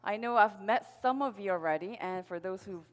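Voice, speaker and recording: A woman's voice: mid-range voice, fairly quick. A middle-aged woman from South Korea, a native Korean speaker, giving an upbeat motivational presentation to an audience, telling her personal story. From Seoul, South Korea. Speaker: sales presenter at a network marketing event. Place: large event hall on stage. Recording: reverberant hall PA sound.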